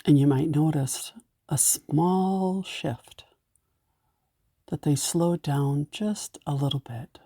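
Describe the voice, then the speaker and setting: A woman with a low, mellow voice narrates a guided meditation. She takes her time with each gentle, soothing instruction. The sound is close and dry, with no room around it. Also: slow, dry recording.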